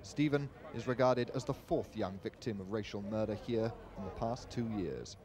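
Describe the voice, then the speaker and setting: A man's voice: lowish voice, expressive, normal speed, a crowd in the background. A man reading a scripted television news report as a reporter. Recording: archive TV report with street hubbub.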